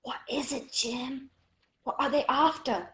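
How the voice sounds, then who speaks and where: A woman's voice: high voice, fairly even intonation, a little slow, sharp tone. A woman playing a stubborn, faint and distressed mother in a radio play. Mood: weak, fearful. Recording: echoey, noisy radio play recording.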